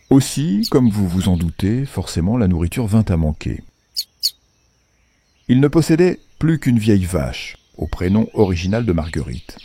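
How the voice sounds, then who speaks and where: A male storyteller narrates a children's fairy tale from a script. His voice is deep, soft-edged and dark, with a husky grain. The delivery is measured, with a playful, wry touch.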